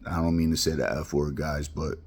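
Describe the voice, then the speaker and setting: A man's voice: rumbling low, steady tone, brisk, a little mellow, husky. A middle-aged man from Queens, talking bluntly and heartfelt in a rambling personal monologue. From Queens, New York. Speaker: vlogger.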